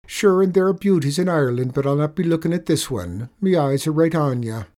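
A man voicing a stubborn, quick-witted Irish tradesman who talks in a hearty brogue. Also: on the high side, expressive, pitched above the performer's own voice, lightly acted. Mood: firm. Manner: bold Irish brogue, shouting.

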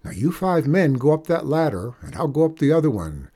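Man voicing a plucky, higher-pitched cowboy kid. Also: sing-song, a little fast, mellow, slightly rough, heavily acted. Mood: eager, confident. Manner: bold, challenging, folksy. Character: plucky, cocky small boy in the Old West.

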